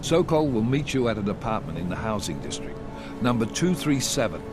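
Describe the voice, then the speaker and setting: Stern male intelligence boss, acted, authoritative, terse, mid-pitched, video game drama with scoring. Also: big pitch swings, a little fast, music in the background, lightly acted. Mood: grave, businesslike. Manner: clipped, authoritative. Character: stern, controlled male intelligence chief giving orders. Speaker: agency director briefing agents. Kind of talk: video game cutscene acting.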